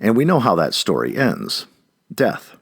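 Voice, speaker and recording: A man's voice: lowish voice, huge pitch swings, a little slow, gravelly, husky, no echo, clean studio sound. A man reading an essay aloud in an emphatic manner, occasionally stumbling and correcting himself. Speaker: audiobook-style essay reader. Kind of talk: expressive read-aloud of an essay.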